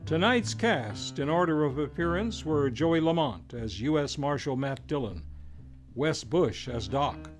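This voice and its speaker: A man with a fairly high voice announces in classic old-time radio style. His delivery is formal and theatrical, leaning on key words with deliberate emphasis. Guitar music plays clearly behind him. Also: animated. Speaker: announcer and host. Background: plucked guitar music.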